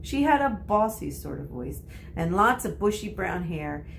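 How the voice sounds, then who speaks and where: A woman's voice: lowish voice, sing-song, measured pace, gravelly, a little hiss or hum, poor audio. A woman narrating a storybook aloud in the role of a teacher reading to pupils.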